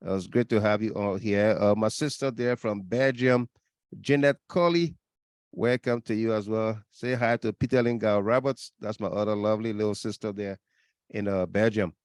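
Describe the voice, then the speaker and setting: A man's voice: fairly low pitch, moderate pace, a little mellow, clear, resonant tone, dry recording, clean studio sound. A man hosting a casual live call-in talk show, chatting and greeting listeners.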